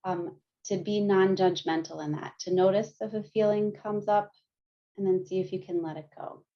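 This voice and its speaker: A woman counselor leading a group through guided mindfulness and gentle yoga, speaking calmly with frequent light laughs, heard over a video call. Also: medium pitch, moderate pace. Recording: muffled lo-fi video call audio.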